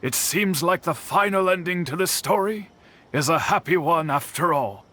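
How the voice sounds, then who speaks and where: An actor voicing a gentle older male smithy elder in a video game dub, talking slowly and thoughtfully. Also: fairly high pitch, slight background noise. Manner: slow, thoughtful, expressive.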